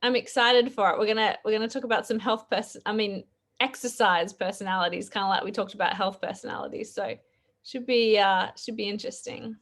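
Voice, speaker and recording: A woman with an Australian accent hosting a live podcast chat over a video call, her voice a bit croaky, chatty and quick to laugh. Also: medium pitch, fairly even intonation, fairly bright tone. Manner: chatty, laughs often, fillers. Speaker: podcast host. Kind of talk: casual live-stream chat.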